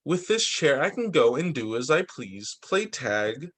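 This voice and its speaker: Man as upbeat young storybook boy, animated. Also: mid-range voice, unhurried. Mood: excited, hopeful. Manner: sing-song rhyming storybook delivery.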